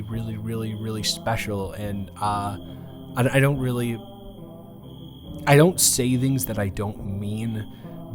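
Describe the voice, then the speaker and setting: A man's voice: medium pitch, close to an everyday voice. A young man playing a nervous, earnest, sweetly awkward college student in a romantic audio roleplay, stammering and rambling with emphatic repetition.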